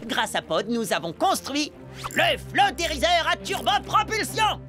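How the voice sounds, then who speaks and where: A woman voicing an anxious, over-eager young boy microbe in an animated cartoon, flustered and stammering. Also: high-pitched, a little slow. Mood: proud pitching. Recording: music under the voice.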